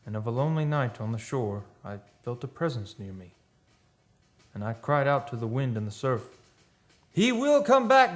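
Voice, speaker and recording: A man's voice: mid-range voice, close to an everyday voice. A man playing a grave, loyal friend and counsellor who also narrates a radio play in solemn, literary style. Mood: crying out. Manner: solemn, measured literary storytelling. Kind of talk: old-time radio play acting and narration. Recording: music under the voice.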